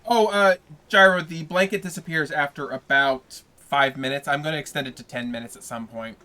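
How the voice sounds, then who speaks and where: Relaxed man chatting on a livestream. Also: on the high side, fairly quick. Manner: relaxed, rambling, chats with viewers. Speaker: livestreamer reading a novel aloud. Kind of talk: casual stream chat with viewers.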